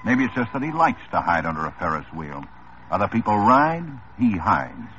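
Brief: low-voiced sarcastic cop, lively delivery, muffled vintage radio. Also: male voice, normal speed. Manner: dry, needling, sarcastic. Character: tough wry male police lieutenant. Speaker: police lieutenant. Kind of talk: 1950s radio drama acting. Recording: dull old radio broadcast with music.

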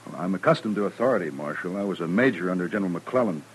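A man's voice: sits low, lively intonation, rapid-fire, a little hiss or hum. A man acting in a 1950s radio western as an earnest, self-assured former army officer, eager, insistent and formal in manner. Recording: vintage old-time radio recording.

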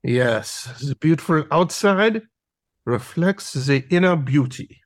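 An actor voicing a bossy, pompous dog instructor in an audio play, with an imperious, declamatory delivery. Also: male voice, a little high, expressive, slow, no room sound, studio quality. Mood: smitten. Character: bossy, pompous German Shepherd masterclass instructor, male. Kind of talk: theatrical audio drama acting.

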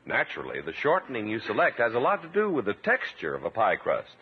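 A man's voice: fairly low pitch, wildly swooping pitch, fast, slightly rough. A man reading scripted announcements and ads as a 1950s radio announcer. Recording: tinny 1950s radio recording, music.

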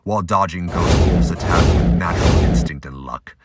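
A man's voice: deep voice, normal speed, loud music behind the voice. A man narrating an action audio drama in a dramatic scripted style.

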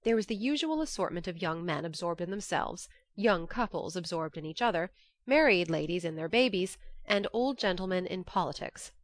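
A woman reads a classic novel as an audiobook narrator. Her voice sits a little low and the sound is dry and close. The delivery is expressive, scripted first-person storytelling. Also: normal speed, dry recording.

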